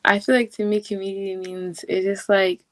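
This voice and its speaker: A sixteen-year-old girl from Cameroon answering podcast questions, halting and repeating words. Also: mid-range voice, little pitch movement, no room sound. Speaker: podcast guest. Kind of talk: casual interview answers.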